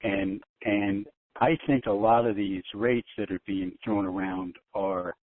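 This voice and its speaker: A man giving informal commentary as a panelist on a telephone conference call, haltingly and repeating his phrases. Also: lowish voice, fairly even intonation, no echo. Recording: narrowband tinny phone line.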